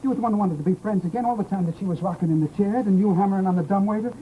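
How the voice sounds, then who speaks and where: An actor in an old-time radio horror drama playing an unhinged, softly insistent grieving widower, halting, coaxing and pleading. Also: male voice, sits high, narrow, tinny audio, slight background noise, natural voice. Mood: agitated, insistent.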